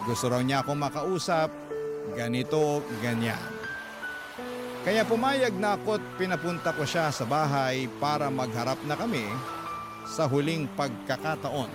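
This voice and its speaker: A man's voice: on the high side, unhurried, loud music behind the voice, lightly acted. A man voicing an aggrieved letter writer, recounting a troubled breakup in a confiding, sorrowful radio-drama style. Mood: dismayed. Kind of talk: dramatic first-person radio storytelling.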